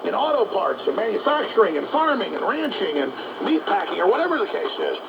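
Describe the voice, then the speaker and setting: A man's voice: sits high, very animated, fairly quick, vocal fry. A man hosting a talk show, delivering an indignant, emphatic political commentary on a muffled, noisy shortwave radio broadcast.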